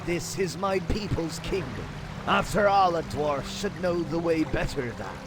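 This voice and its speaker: A man's voice: on the high side, lively intonation, measured pace, noisy background. A man voicing a proud, suspicious dwarf adventurer in a fantasy game, blunt and archaic in phrasing. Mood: proud, distrustful. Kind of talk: fantasy game cutscene acting. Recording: music behind the voice.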